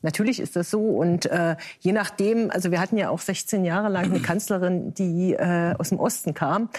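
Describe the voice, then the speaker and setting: A woman's voice: on the low side, darkish tone. A woman from East Germany, a guest on a talk show panel, arguing her point firmly with frequent hesitations. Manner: measured, many hesitations, firm.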